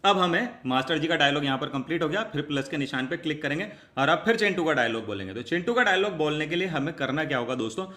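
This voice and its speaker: A man with a forceful, bright voice pitched a little high. He presents a step-by-step tutorial, chatty and repetitive as he explains each action. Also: expressive, fast talker, hardly any pauses. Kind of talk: step-by-step spoken app tutorial.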